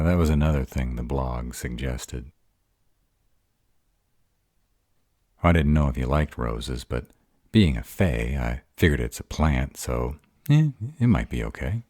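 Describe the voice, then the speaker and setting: A man voicing a bashful, awkward male were-dragon in love, halting and earnest, in a romantic roleplay audio. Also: extremely low pitch, a little mellow, no echo, clean studio sound, full character voice. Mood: awkward, eager.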